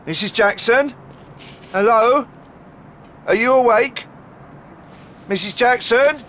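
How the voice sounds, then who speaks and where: A man's voice: very high voice, takes it slowly, fairly bright tone, exaggerated voice. An actor playing a well-meaning, shaken small-town policeman in an audio drama, hesitant and earnest. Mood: alarmed. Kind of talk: full-cast audio drama acting. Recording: faint background noise and ambience.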